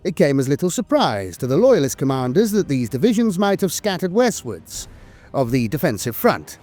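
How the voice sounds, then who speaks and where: Higher-pitched man narrating lore, lively intonation. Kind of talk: scripted documentary-style lore narration. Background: music.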